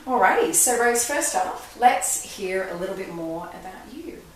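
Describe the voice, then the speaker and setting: A woman's voice: medium pitch, lively intonation, moderate pace. A woman hosting an interview, upbeat and encouraging. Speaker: host. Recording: echoey room, mic far off, faint hiss.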